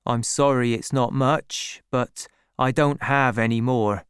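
A woman's voice: extremely low pitch, steady tone, takes it slowly, clear, resonant tone, no room sound, studio quality. A woman voicing a polite, earnest, kindly young male shepherd in a storybook reading.

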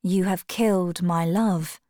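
A woman's voice: lowish voice, steady tone, takes it slowly, warm tone, no room sound, studio quality. Woman reading a cold, cruel young man. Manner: cold, curt.

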